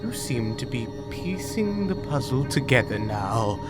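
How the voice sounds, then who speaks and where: Slow-spoken male alien villain, played by an actor, calm, condescending, menacing, mock-polite, audio drama, loud music behind. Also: mid-range voice. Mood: smug, controlled.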